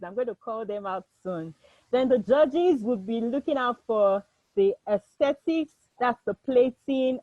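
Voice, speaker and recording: Nigerian lady hosting a cooking contest on a video call, yoruba speaker, kinda high voice, chatty, dull dry sound. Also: no echo. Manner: chatty, with restarts and laughs. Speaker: host. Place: home.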